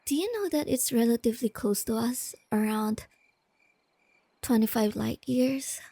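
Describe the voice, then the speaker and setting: A woman playing a shy, nerdy young girlfriend in a soft-spoken ASMR roleplay, sweet and earnest, explaining things gently and without hurry. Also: fairly high pitch, measured pace, no room sound. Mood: gentle, informative.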